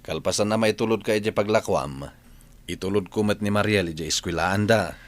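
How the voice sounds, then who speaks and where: A man's voice: lowish voice, fairly quick, lightly acted. A man playing a devoted, lovestruck family friend and godfather, in Filipino-accented radio drama. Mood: helpful, caring.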